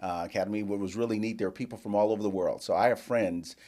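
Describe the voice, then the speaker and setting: An older man who grew up in the Bahamas, a guest in a casual interview, telling his life story with lively quoted dialogue. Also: fairly low pitch, fast. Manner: storytelling, animated quoting of others.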